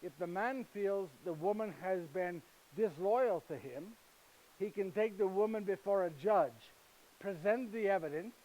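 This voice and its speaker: A gentle, humorous husband and carpenter tells his story in broken, foreign-sounding English, played by a male actor. His voice sits high for a man, and he keeps asking his listeners "yes?" as he goes. The delivery is a chatty stage monologue aimed straight at the audience. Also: a little slow, low-quality recording. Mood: fond storytelling. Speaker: actor performing a first-person character monologue. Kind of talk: live theatrical monologue.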